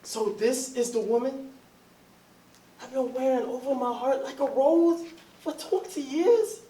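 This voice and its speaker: Woman playing an earnest, high-voiced Southern husband. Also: measured pace, warm tone. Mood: anguished. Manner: urgent, pleading, commanding. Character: John, earnest, bossy returning husband, anxious and lovelorn. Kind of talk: staged readers theatre, Southern period dialect. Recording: echoey, distant stage recording.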